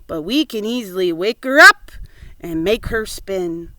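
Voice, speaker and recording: A woman's voice: a little high, big pitch swings, measured pace, fairly bright tone, no echo. A woman voicing a kindly old male grasshopper in a storybook reading, grandfatherly and earnest.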